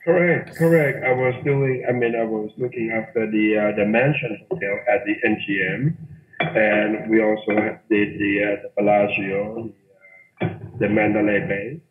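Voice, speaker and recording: A man's voice: fairly low pitch, moderate pace. Middle-aged man on a tinny, echoey phone line. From Thailand. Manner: animated, repeats words for emphasis. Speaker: hotel general manager. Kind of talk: interview guest. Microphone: phone or call line.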